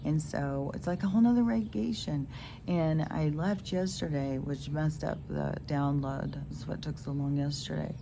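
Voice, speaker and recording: Low gravelly stuffed-up woman outdoors. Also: normal speed. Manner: meandering, many fillers and restarts. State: stuffed-up nose. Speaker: spiritual vlogger. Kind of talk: rambling casual monologue. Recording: noisy outdoor phone recording.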